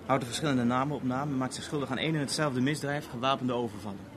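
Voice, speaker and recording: An actor in a 1970s radio drama playing an urbane, wry French police officer who speaks in measured, formal, dryly ironic sentences. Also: male voice, mid-range voice, close to an everyday voice. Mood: businesslike. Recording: noisy old radio play recording.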